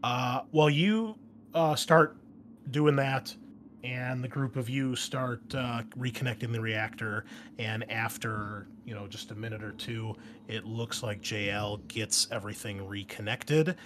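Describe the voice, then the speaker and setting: A man's voice: mid-range voice, fairly even intonation, a little slow. A man hosting and game mastering a tabletop roleplaying game in a casual, explanatory, conversational way. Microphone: close microphone with pop shield. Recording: clearly noisy background.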